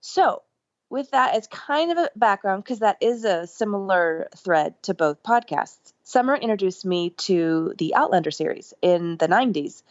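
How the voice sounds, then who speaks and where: A middle-aged woman, a podcast guest, telling a story in an enthusiastic, rambling way, with lively jumps in pitch. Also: moderate pace, dry recording. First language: English. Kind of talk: casual storytelling interview. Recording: dull lo-fi remote line.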